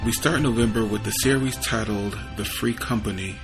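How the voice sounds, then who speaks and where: A man introduces his podcast in a low voice with a gravelly fry. His delivery is informal and unpolished, and music plays behind him. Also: normal speed. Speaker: podcast host. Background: music under the voice.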